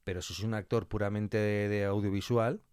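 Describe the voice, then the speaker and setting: Man, low voice, emphatic conversational guest, very dry recording. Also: steady tone, brisk, darkish tone, smooth, no room sound. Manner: colloquial and emphatic, repeating key phrases and voicing hypothetical quotes. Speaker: interview guest, audio fiction director. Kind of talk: conversational interview answers.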